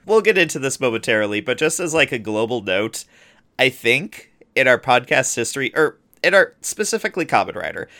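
A man's voice: mid-range voice, sing-song, a little fast, sharp tone. A man co-hosting a podcast in casual chat, teasing and wry, often laughing. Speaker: podcast co-host.

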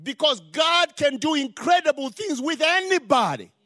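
A man's voice: very high-pitched, sing-song, normal speed, sharp tone. An older man from Zimbabwe with a Zimbabwean accent, preaching a sermon in an emphatic style that builds to rising exclamations. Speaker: pastor.

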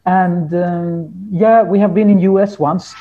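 Guy chatting in an interview, pretty high voice, feels around for words, muffled lo-fi sound. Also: steady tone, a little slow, dark tone. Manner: hesitant, searching for words in non-native English. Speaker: interview guest, folk musician. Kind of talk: casual conversational interview.

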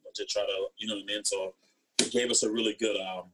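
A man's voice: lowish voice, little pitch movement, quick pace, background noise. A young man from East Africa talking casually as an interview guest, rambling and slangy, often checking that he's understood. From East Africa, born in Ethiopia. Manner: rambling, slangy, frequent "you know what I mean". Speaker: interview guest, former athlete. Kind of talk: casual conversational storytelling.

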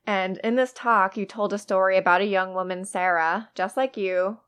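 A woman's voice: mid-range voice, fairly even intonation, normal speed, a bit bright, clean tone. A woman hosting a podcast, reading a polished scripted intro and then interviewing a guest. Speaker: podcast host.

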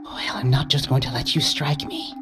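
A man voicing a small, soft, sorrowful presence hidden in the dark, with a strained, hushed and hesitant whispery voice, in an audiobook. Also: medium pitch, fairly even intonation, moderate pace, over music, voice pushed up, lightly acted. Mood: apologetic, gentle. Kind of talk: audiobook character voice.

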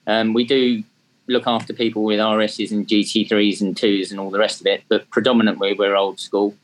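A man's voice: lowish voice, little pitch movement, fairly quick, rough recording. A man in his fifties from England, with an English accent that has a slight Australian tinge, chatting as an interview guest in a self-deprecating way with frequent ums. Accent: English, with some Australian tinge. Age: 50s. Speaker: guest, charity track day organiser. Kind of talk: casual interview talk.

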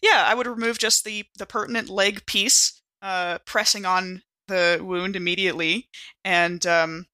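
A woman's voice: mid-range voice, steady tone, a little slow, sharp tone, no echo. A woman playing a tabletop role-playing game, chatting informally and thinking aloud as she improvises.

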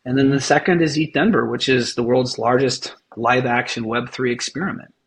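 A man, a founder guesting on a podcast, talking in an animated, rambling, casual way and swearing now and then. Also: mid-range voice, normal speed, a little hiss or hum.

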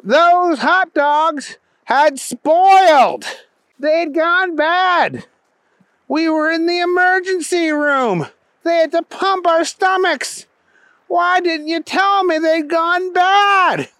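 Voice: very high voice, takes it slowly, a bit bright, no room sound, studio quality, pitched above the performer's own voice, exaggerated voice. A storyteller voicing an exasperated, literal-minded male best friend in a comic story.

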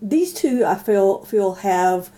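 Very mellow, dark-voiced woman co-host. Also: medium pitch, animated, takes it slowly. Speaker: podcast co-host. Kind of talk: casual chat.

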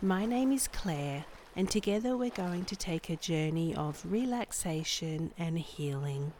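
A woman guiding a meditation in a soft, breathy, soothing voice with measured delivery and long pauses. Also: fairly low pitch, expressive, slight background noise. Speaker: meditation guide and podcast host. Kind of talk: guided body scan meditation, scripted narration.

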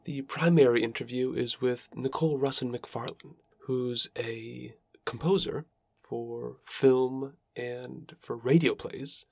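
Male podcast host, average pitch with noticeable vocal fry, dry recording. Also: fairly even intonation, slow, darkish tone, no echo. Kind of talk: podcast introduction and interview.

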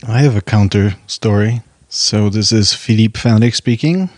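A man's voice: lowish voice, normal speed, darkish tone, dry recording, clean studio sound. A man from Poland, an orchestral musician, telling a personal story conversationally as a guest. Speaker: orchestral violinist, podcast guest.

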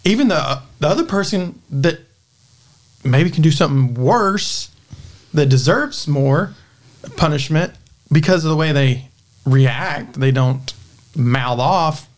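A man's voice: a little high, expressive, slow pace, pauses often. A man co-hosting a podcast and talking as a parent in casual chat, rambling as he vents. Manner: venting, rambling.

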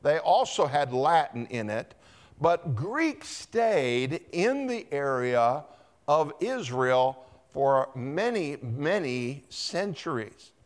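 Slow, lively-voiced pastor quizzing his flock. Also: a little high. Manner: teacherly, asks the congregation questions and calls on people by name. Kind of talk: interactive Bible teaching with quiz questions. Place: church.

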